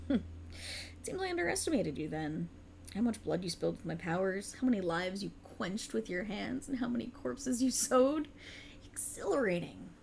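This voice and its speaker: A woman's voice: medium pitch, creaky, darkish tone, slight background noise. A woman voicing a sultry, cruel and mocking otherworldly warlock patron in a theatrical ASMR roleplay, teasing and condescending. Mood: gloating, savoring.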